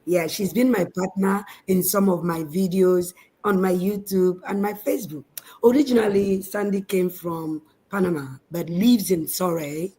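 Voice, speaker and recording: A woman's voice: lowish voice, normal speed, mellow. A woman co-hosting a casual livestream, introducing a guest in an informal way.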